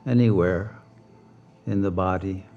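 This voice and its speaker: A man leading a guided meditation as a teacher, speaking calmly and deliberately with long pauses. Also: low-pitched, muffled recording, faint music behind.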